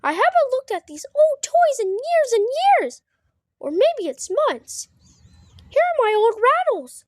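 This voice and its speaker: Woman doing a very high-pitched cheerful baby bear. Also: very animated, a little slow, dry recording, studio quality, exaggerated voice. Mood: excited. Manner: bubbly, childlike. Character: cheerful little male baby bear, chatty.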